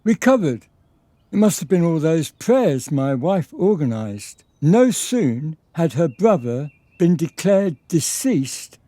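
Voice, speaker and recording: Man acting a haughty lord, slow and swooping. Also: fairly high pitch, dark tone, no room sound, heavily acted. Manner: haughty, commanding, archaic formal diction. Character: proud, imperious, easily affronted lord and father. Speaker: actor in a radio drama. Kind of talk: theatrical period radio drama acting.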